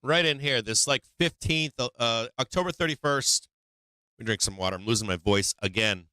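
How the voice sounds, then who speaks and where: A man hosting a live stock market show, talking through charts in a loose, unscripted way. His voice is bright and smooth, and the sound is dry and close. He often stalls and restarts mid-sentence as he hunts for the right phrasing. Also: medium pitch, dry recording. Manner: halting, searching for words, frequent restarts. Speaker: host of a stock market livestream. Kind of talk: casual live chart commentary.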